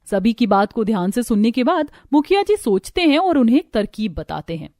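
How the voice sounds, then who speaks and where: A woman's voice: on the high side, very animated, brisk, dark tone, no echo, studio quality, faint music behind. A woman narrator telling a scripted cartoon story.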